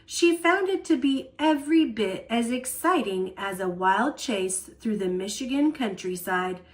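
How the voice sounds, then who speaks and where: Animated woman reading a children's story. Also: a little high, measured pace. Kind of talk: expressive children's picture book read-aloud.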